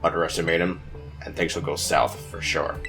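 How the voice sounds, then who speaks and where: A man voicing a secretive, calm mastermind in a video game, giving a measured, businesslike briefing. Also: rumbling low, faint music behind, voice pushed down. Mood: calm, ominous.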